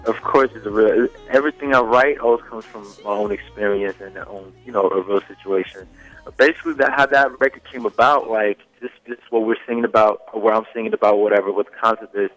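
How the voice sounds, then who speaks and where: A man's voice: fairly low pitch, steady tone, fast, a bit bright, faint music behind, poor audio. A young man whose first language is Swedish, talking casually as a singer guest in a radio interview, full of "you know" fillers. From Sweden. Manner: laid-back, many fillers like "you know".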